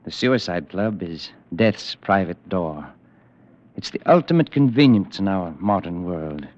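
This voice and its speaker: A suave, sinister recruiter, voiced by a man speaks in smooth, persuasive, ominous tones. His voice is on the low side. The old radio recording sounds muffled and dull. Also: expressive, unhurried, a little mellow. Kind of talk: old-time radio drama.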